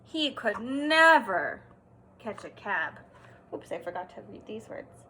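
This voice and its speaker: A woman teacher doing an expressive read-aloud for young children, stressing words and pausing to explain and ask simple questions. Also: medium pitch, a little hiss or hum, low-quality recording. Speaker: kindergarten teacher.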